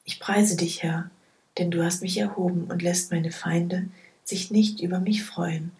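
A woman reading Bible passages aloud in a soft, hushed, measured way. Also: lowish voice, fairly even intonation. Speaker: scripture reader. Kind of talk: slow, quiet Bible reading.

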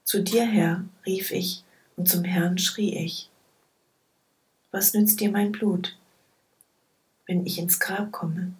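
A woman reading Bible passages aloud in a soft, hushed, measured way. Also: fairly low pitch, steady tone, rough recording. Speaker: scripture reader. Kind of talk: slow, quiet Bible reading.

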